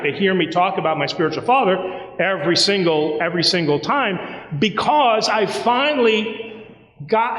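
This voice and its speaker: A man preaching a sermon as a priest, telling a personal story in a lively, conversational way and acting out the dialogue. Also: high voice, highs cut off. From United States. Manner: animated, conversational, acts out dialogue. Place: church sanctuary. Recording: roomy church with long reverb.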